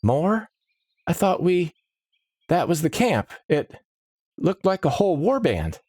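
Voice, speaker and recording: A man's voice: big pitch swings, slow, darkish tone, slightly rough, no room sound, studio quality, exaggerated voice. A man voicing a shaken young male recruit who talks anxiously in a raised, strained voice. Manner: anxious, questioning, higher and strained.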